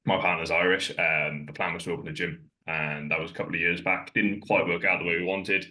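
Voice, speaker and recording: A man's voice: deep voice, steady tone, fairly quick, pushed and bright. A Welsh man in his thirties, a guest on a podcast who works as a fitness coach, talking candidly and loosely and rambling with fillers. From Wales. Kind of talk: casual chat. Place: in Ireland, remote.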